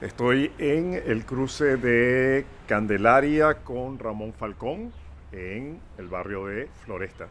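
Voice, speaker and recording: A man in his sixties from Venezuela, being interviewed for a documentary. He speaks in a halting way, pausing often on fillers. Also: medium pitch, fairly bright tone, slight background noise. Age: 60s. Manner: hesitant, halting, heavy on fillers. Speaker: interviewee, father. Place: outdoors at a city street corner.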